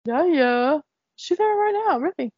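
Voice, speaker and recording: A woman's voice: high-pitched, lively intonation, measured pace, a little mellow, no echo. An American woman co-hosting a casual chat, teasing and matter-of-fact, laughing often. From United States. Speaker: podcast co-host. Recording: dry, dull lo-fi sound.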